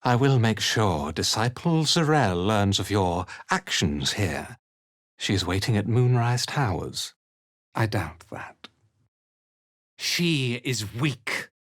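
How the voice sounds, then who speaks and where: Haughty, slow-spoken cult commander. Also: male voice, mid-range voice, a bit bright, no room sound, clean studio sound. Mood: sardonic contempt. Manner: disdainful, measured, theatrical, imperious. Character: cold, haughty, zealous male cult commander. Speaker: villainous military commander. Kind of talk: fantasy video game dialogue.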